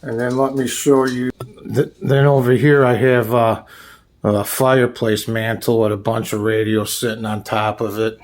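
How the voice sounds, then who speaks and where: A man giving a casual, unscripted show-and-tell as a hobbyist, halting often and searching for names as he goes. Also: medium pitch, level tone. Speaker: hobbyist showing a radio collection.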